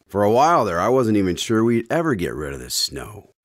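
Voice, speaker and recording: An actor playing a friendly announcer and host in an old-time style radio drama. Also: male voice, fairly low pitch, wildly swooping pitch, fairly quick, no room sound, clean studio sound. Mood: friendly, curious. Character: friendly male announcer and visitor drawing out stories.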